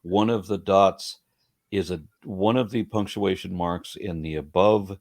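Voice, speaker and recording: A male host speaks in a lowish voice, working through his thoughts aloud. He restarts and repeats words as he searches for what to say. His tone is conversational, as in a relaxed interview. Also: a little slow, creaky.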